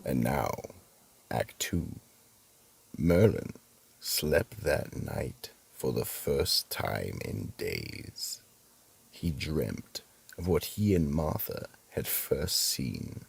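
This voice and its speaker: Super deep raspy guy narrating a spooky mystery play, slow and solemn, lots of rise and fall. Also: very animated. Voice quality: gravelly, husky. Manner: deliberate, solemn storytelling. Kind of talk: dramatic scripted narration for mystery radio play.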